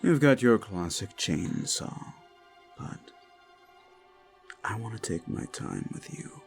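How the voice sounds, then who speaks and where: A man playing a hulking sadistic slasher killer in a horror roleplay audio, gloating and menacing, savouring each pause and dropping into whispers. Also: on the low side, sing-song, vocal fry, faint music behind, full character voice. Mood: gloating menace.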